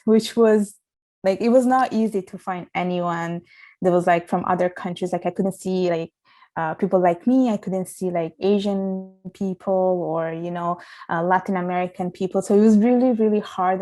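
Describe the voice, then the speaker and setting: A woman's voice: medium pitch, darkish tone. Earnest young woman recounting her upbringing. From Italy. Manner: earnest and reflective, many restarts and "like"s. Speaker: podcast guest. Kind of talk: casual interview storytelling.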